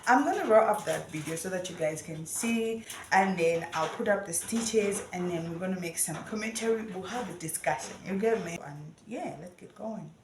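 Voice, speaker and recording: A woman's voice: on the low side, normal speed, hardly any pauses, roomy sound, crowd noise. A woman hosting reaction commentary, amused and talking straight to her viewers. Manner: amused, talks to viewers directly. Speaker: commentary host.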